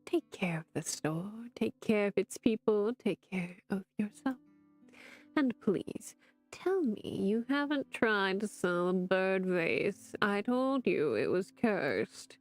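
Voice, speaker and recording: A woman voicing a loving aunt's farewell letter, soft and partly whispered, over faint music. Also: mid-range voice, big pitch swings, takes it slowly. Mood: tender.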